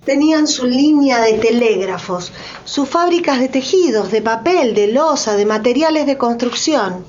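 A measured woman narrator with a fairly high voice reads a dramatised documentary script. She lingers on phrase endings, in slightly dull sound. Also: animated, a little mellow, soft background music.

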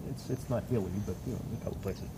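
A man's voice: fairly low pitch, rapid-fire. A man with a stuffed-up, congested voice chatting casually, rambling and joking, swearing now and then. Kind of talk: casual chat. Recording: outdoor noise, crickets behind.

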